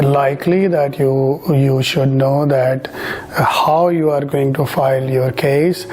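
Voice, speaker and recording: Mellow-voiced man explaining points patiently. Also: on the high side. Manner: halting, many "uh" fillers, repeats numbers; patient, addresses the viewer directly. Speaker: immigration consultant. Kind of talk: explanatory presentation.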